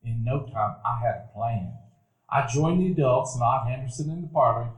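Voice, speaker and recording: An actor narrating a radio play as a grown man recalling his boyhood, in a measured, reflective storytelling manner. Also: medium pitch, close to an everyday voice. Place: dinner theater stage before a live audience. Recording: echoey live stage recording.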